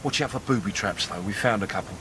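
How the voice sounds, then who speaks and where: A cautious British army lieutenant played by a man in a wartime radio drama. His voice is on the low side and matter-of-fact, with faint noise around it. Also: fast talker, lightly acted. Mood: businesslike. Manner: matter-of-fact military reporting.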